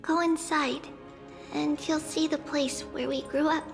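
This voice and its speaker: An actress playing a gentle, wistful young-sounding demigod priestess in a video game, telling things in a measured, reflective way. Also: female voice, sits high, steady tone, vocal fry, over music. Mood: calm, nostalgic. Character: childlike female high priest, gentle, wistful, nostalgic demigod. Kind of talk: scripted video game dialogue.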